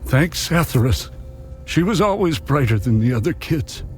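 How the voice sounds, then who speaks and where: An actor playing a protective, hopeful captive uncle in a video game cutscene, solemn and heartfelt. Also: male voice, a little high, expressive, moderate pace, faint music behind, natural voice. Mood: tender.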